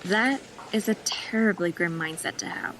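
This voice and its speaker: An actress playing a new young female nurse who is blunt and sharp-tongued. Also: on the low side, normal speed, lightly acted. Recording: noisy background.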